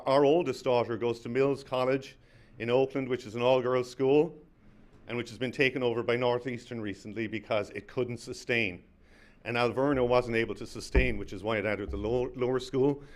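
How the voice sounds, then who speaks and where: A man with an Irish accent, a parent giving an earnest public comment at a city planning meeting, often repeating words as he restarts. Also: medium pitch. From Ireland. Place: council chamber podium.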